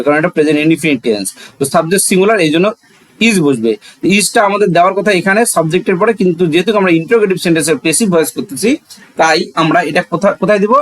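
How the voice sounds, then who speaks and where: A man's voice: on the high side, no room sound. A man with a Bangladeshi accent teaching in an explanatory, didactic way, asking rhetorical questions and repeating key phrases.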